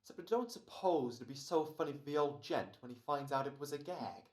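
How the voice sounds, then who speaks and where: An actor playing a tired, wisecracking but soft-hearted radio actor in an old-time American radio comedy. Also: mid-range voice, lively intonation, brisk, natural voice. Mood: weary, grumbling. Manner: weary, sardonic, slangy.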